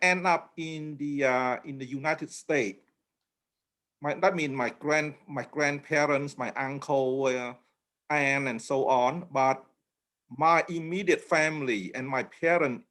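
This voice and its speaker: Higher-voiced middle-aged man, humble and halting. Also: a bit bright. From Cambodia. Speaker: interview guest, memoir author. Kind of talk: informal personal interview.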